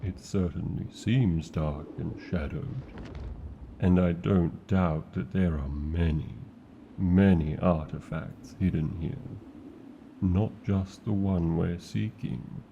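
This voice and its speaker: A man with a British accent voicing a tender, protective male dragon in a fantasy ASMR roleplay, speaking in a slow, formal and doting way full of endearments. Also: low voice, vocal fry, lo-fi sound, background noise, heavily acted. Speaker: voice actor.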